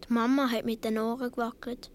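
A woman's voice: a little high, flat delivery, brisk, slight background noise, lightly acted. A woman playing a seven or eight year old Swiss boy, telling his story earnestly with a Swiss German accent in a radio play. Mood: wistful, recounting.

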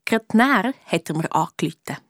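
An actress with a Swiss German accent playing a clever, cheeky amateur detective who narrates a children's radio play. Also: female voice, fairly low pitch, expressive, fast, dry recording, studio quality, natural voice. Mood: confiding. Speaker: lead detective and narrator in a children's audio drama.

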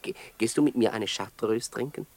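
An actor playing an ironic but generous, hard-up book illustrator in a 1960s radio comedy, speaking in a dry, wry way. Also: male voice, mid-range voice, moderate pace, darkish tone, close to an everyday voice. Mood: wry, amused.

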